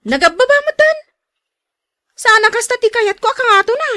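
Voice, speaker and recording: Female voice actor as sharp-tongued Ilocano housewife for radio drama: high, bright, very animated, bossy and grumbling. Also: moderate pace, full character voice. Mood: bossy, demanding. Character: sharp-tongued, demanding wife, fussy and complaining about neighbours.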